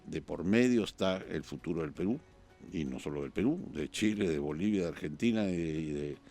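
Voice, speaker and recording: Un periodista peruano, invitado en un programa de debate político, que habla pausado y piensa en voz alta entre muletillas. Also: male voice, rumbling low, lively intonation, a little mellow, soft background music. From Peru. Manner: measured, thinking aloud with pauses and fillers. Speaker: journalist guest. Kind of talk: political talk-show discussion.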